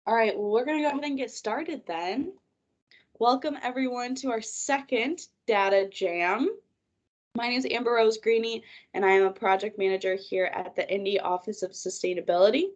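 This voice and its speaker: A woman's voice: fairly high pitch, moderate pace, muffled recording. A woman, a project manager presenting a webinar over a video call, congested from a cold, guiding listeners through her slides in an organized way.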